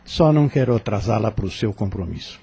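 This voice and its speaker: A man's voice: lowish voice, lively intonation, a little fast, mellow, exaggerated voice. A man playing a polite, determined, skeptical fellow in an audio drama, formal and reserved. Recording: clearly noisy background.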